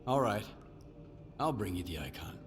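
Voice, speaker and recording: A man playing a gruff, wary lone stalker in a video game, terse and guarded. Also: on the low side, big pitch swings, normal speed, over music. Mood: resolved. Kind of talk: video game character dialogue.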